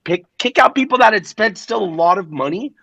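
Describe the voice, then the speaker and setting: Gravelly-voiced young man telling stories. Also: sits high, expressive, bright, forceful tone, dry recording, dull audio. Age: 20s. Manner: rambling, profane storytelling with quick impressions. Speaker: DJ guest. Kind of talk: casual podcast chat.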